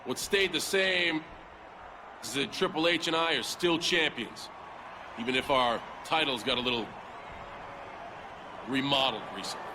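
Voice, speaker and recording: A man's voice: medium pitch, moderate pace, pauses often, background noise, lightly acted. An actor playing a brash male wrestling champion, speaking to the crowd in cocky, playful cutscene dialogue. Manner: cocky, playful trash talk. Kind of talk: video game cutscene dialogue. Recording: game audio with arena ambience.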